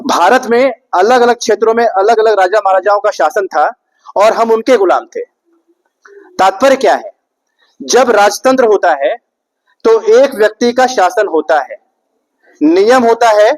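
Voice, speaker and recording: A man's voice: high-pitched, lively intonation, measured pace, rough recording. A young man giving a public speech to an audience, rhetorical and repetitive, putting questions to the listeners. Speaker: speaker at an Independence Day event.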